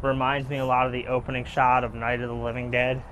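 A man's voice: medium pitch, completely flat delivery, fast talker, fairly bright tone, a little hiss or hum, rough recording. A young man hosting a casual vlog outdoors, chatting and joking on a handheld camera. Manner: chatty, joking, gives spookiness ratings. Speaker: vlog host. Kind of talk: casual chat. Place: outdoors in a sunny cemetery. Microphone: handheld camera on a gimbal.

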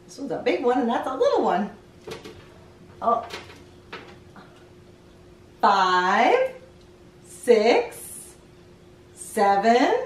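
A middle-aged woman hosting a children's read-aloud, playfully leading young listeners through counting and asking them questions in an animated sing-song way. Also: high-pitched, slight background noise. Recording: roomy echo, distant mic, music behind.

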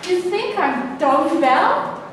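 A woman's voice: very high-pitched, sing-song, very slow, fairly bright tone, exaggerated voice. A young woman playing a polite, sweet sweetheart in a staged reading of a play. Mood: exasperated. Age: young adult. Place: large bare indoor room. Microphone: far camera. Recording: echoey hall, distant camera microphone, noisy.

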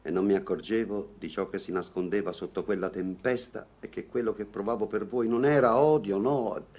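An actor playing an awkward, earnest gentleman newly in love, speaking theatrically in a vintage Italian radio comedy. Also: on the low side, normal speed, close to an everyday voice. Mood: troubled, tender. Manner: theatrical, hesitant declarations.

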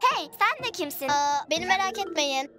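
A woman voicing an earnest, expressive little baby girl in a dubbed children's cartoon. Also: very high voice, moderate pace. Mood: friendly, earnest. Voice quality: childlike, small voice. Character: little baby girls in a cheerful cartoon adventure. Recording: studio dub under children's music.

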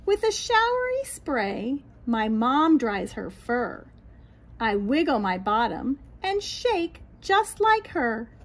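A middle-aged American woman reading a picture book aloud to young children in an expressive, sing-song way, outdoors on a patio. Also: high voice, takes it slowly. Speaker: children's read-aloud host, teacher. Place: small backyard patio, outdoors. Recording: light outdoor background noise.

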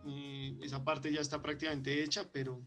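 A man's voice: medium pitch, level tone, normal speed, a bit bright, soft background music. A man presenting his work at a conference and answering questions over a video call, often hesitating and repeating words. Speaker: conference speaker. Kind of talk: conference talk and Q&A.

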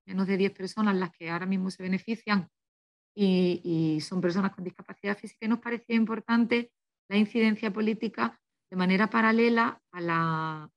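A woman gives a prepared conference talk haltingly, slightly muffled by a face mask. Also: medium pitch. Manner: halting, with repeated words and pauses. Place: small office, indoors. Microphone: video call computer microphone, through mask. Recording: dull lo-fi video-call audio.

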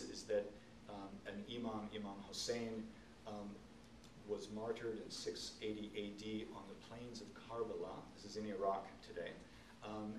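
Middle aged guy giving a talk, kinda deep voice, earnest and halting, echoey room recorded from a ways off. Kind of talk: unscripted public talk, explaining and storytelling. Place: indoor event room, seated on stage. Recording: roomy, distant camcorder sound, faint noise.

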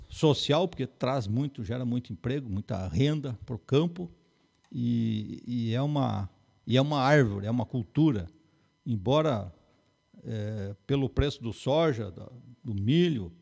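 A man in his fifties with a gaúcho accent from southern Brazil, a forestry engineer explaining as a guest in a small TV studio, reciting figures in a steady way. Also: medium pitch, gravelly. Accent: Rio Grande do Sul gaúcho Portuguese.

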